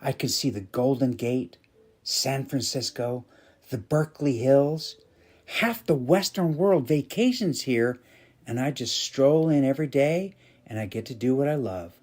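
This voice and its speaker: A man's voice: mid-range voice, lively intonation, measured pace, darkish tone, voice pushed up. A man voicing an exuberant toll booth worker who dreams of dancing, speaking emphatically in a storytelling reading. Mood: content, upbeat. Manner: emphatic, repeats words with relish. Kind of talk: dramatized storytelling dialogue.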